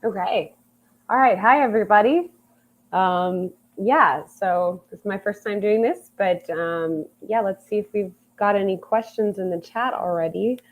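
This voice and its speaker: A woman's voice: mid-range voice, a little slow. A woman joining a livestream by audio only as guest expert, answering viewer questions hesitantly with many ums. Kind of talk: casual Q&A over audio call. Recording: tinny phone-like audio line.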